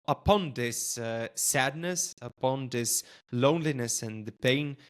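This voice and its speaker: A man's voice: medium pitch, a little slow, smooth. A man whose first language is Lithuanian, hosting a podcast interview in a thoughtful way with many hesitations. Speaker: podcast host.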